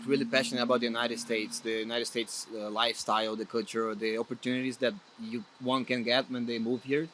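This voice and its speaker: A man's voice: mid-range voice, a bit bright, poor audio. Earnest Brazilian-accented man, faint hiss. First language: Portuguese. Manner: earnest, hesitant with many restarts. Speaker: guest soccer player. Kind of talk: casual interview chat.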